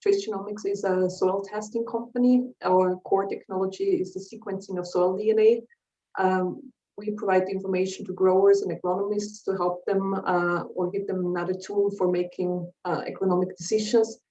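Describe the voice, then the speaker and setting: A woman's voice: mid-range voice, moderate pace, dull audio. Austrian woman, distant and roomy on a call. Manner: careful, steady, with fillers. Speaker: soil scientist. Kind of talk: self-introduction on video call. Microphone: video call.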